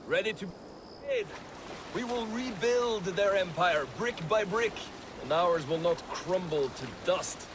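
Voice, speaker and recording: A man's voice: high-pitched, animated, a little slow. An actor playing a brash, boastful Viking raider in a video game, blustering as he talks, over water noise. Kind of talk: cinematic video game acting. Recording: loud water and boat ambience.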